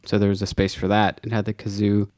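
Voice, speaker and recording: A man's voice: lowish voice, monotone, fast talker, darkish tone, no echo, clean studio sound. A middle-aged man, a singer and guitarist, talking thoughtfully about his song in a casual interview.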